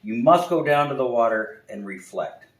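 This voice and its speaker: A man voicing a wise, bossy old snow owl who scolds and lectures solemnly in a raised, louder voice, recorded in an echoey room. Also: mid-range voice, animated, normal speed, voice pushed up, natural voice.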